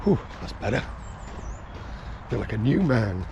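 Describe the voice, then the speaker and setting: A man with a gravelly, rough voice, chatting casually and wryly as he vlogs a day trip around a town, cracking small jokes. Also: lowish voice, big pitch swings, unhurried, highs cut off. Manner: chatty, wry, joking asides. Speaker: travel vlogger. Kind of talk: casual vlog commentary. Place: outdoors in a town by a river and in a vehicle. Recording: outdoor street and traffic noise.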